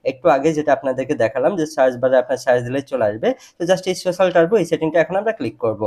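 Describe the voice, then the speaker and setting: Very fast-talking man, tutorial narrator. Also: medium pitch, a little mellow. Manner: instructional, repeatedly addressing viewers. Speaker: tech tutorial presenter. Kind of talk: step-by-step phone tutorial narration.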